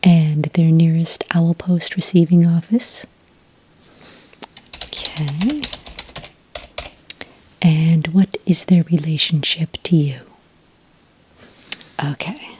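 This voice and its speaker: A woman doing a soft-spoken ASMR roleplay as a patient enrollment witch, in a breathy, whispery voice with a gentle, polite manner. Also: low-pitched, a little hiss or hum, poor audio. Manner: gentle, slow, hesitant official patter. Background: computer keyboard typing.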